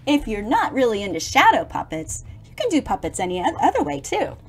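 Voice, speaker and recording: A woman's voice: fairly high pitch, huge pitch swings, fairly quick, slight background noise. A middle-aged woman reading a picture book aloud expressively, theatrically stretching words and adding chatty asides for young listeners. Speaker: children's storybook reader.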